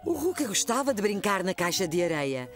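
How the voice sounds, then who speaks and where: Animated European Portuguese woman narrator. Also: medium pitch, brisk, loud music behind the voice. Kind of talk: scripted children's story narration.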